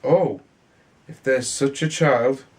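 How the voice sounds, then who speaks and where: A man's voice: mid-range voice, slow, close to an everyday voice. A man reading a storybook, voicing scornful older brothers who tease their sibling in a fairy tale. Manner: scornful, teasing.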